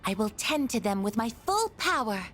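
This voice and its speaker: A woman voicing a curious, earnest female android in a video game, speaking precisely and formally with gentle wonder. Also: fairly high pitch, very animated, normal speed, faint music behind. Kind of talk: English video game dub acting.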